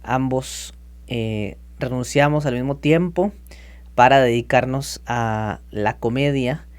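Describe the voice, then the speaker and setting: A man in his thirties from Costa Rica, telling his story as a podcast guest in a lively, chatty way. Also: mid-range voice. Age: 30s. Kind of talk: casual interview talk.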